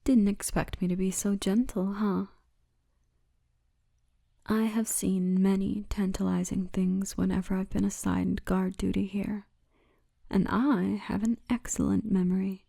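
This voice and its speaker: A woman voicing a big orc guardswoman, gentle, wry and commanding, in a hushed, breathy ASMR roleplay murmur. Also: medium pitch, level tone, a little slow. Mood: gentle, seductive.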